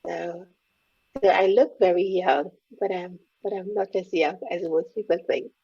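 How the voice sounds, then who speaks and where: Gravelly woman, warm and husky. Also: fairly low pitch, steady tone, measured pace. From Caribbean, born in Grenada, raised in Trinidad. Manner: reflective, speaks openly about hard memories. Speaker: guest, empowerment coach. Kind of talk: candid interview conversation. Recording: dull lo-fi audio.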